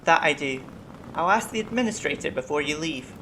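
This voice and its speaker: An actor playing a gentle, formal bear-like alien medic in a science-fiction audio drama. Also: medium pitch, lively intonation, a little hiss or hum, poor audio. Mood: polite, modest. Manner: polite, measured, formal.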